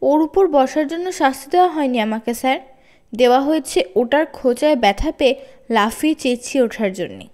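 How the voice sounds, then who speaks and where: Storybook schoolboy, done by a woman: high, defensive, falsely innocent and polite. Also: animated, fairly quick, darkish tone. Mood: innocent protest. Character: cheeky schoolboy protesting with mock innocence.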